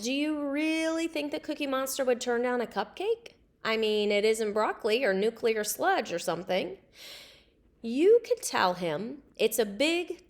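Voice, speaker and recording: A woman voicing a goofy, excitable and blunt schoolboy in emphatic storybook dialogue. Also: on the high side.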